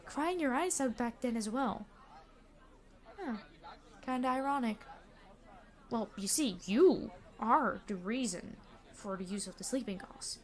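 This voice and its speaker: A woman playing a weary immortal supervillain in an ASMR roleplay, an exasperated boss who is gentle with hostages, speaking formally with dry sarcasm. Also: fairly high pitch. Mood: wry, musing. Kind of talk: scripted ASMR roleplay acting.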